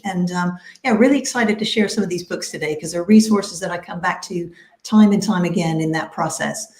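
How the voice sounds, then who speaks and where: A woman's voice: mid-range voice, darkish tone. Enthusiastic woman coach in echoey room. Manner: enthusiastic, conversational, with fillers. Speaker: career and executive coach. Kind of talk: live panel discussion. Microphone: video call.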